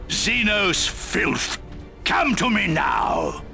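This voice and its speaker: An actor playing a proud, century-old imperial general in a video game, declaiming in a grandiose, theatrical way. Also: male voice, high voice, big pitch swings, very slow, creaky, pushed and bright, soft background music, exaggerated voice. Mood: defiant outrage. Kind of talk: dramatic video game voice acting.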